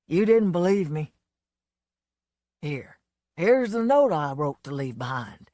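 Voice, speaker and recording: Woman doing a drunken braggart, loud, slurred, swaggering, swooping pitch, dry close sound. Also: sing-song, takes it slowly, dry recording, full character voice. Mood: self-pitying. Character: drunken blustering man, a boastful folksy entertainer.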